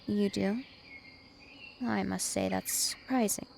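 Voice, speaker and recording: A woman's voice: medium pitch, fairly even intonation, takes it slowly, vocal fry, a little mellow, slight background noise. A woman voicing a dominant, taunting, ancient vampire princess in an ASMR roleplay, with haughty, teasing menace. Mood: scornful. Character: two-thousand-year-old vampire princess, female, dominant, taunting, imperious.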